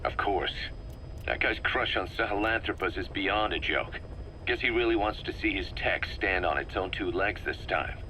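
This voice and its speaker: Serious military second-in-command in a game, deep voice with bite, explains stuff plainly. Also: male voice, moderate pace, bright, forceful tone, a little hiss or hum, rough recording. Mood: businesslike. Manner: explanatory, matter-of-fact. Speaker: military deputy briefing his boss. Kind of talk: video game voice acting, briefing.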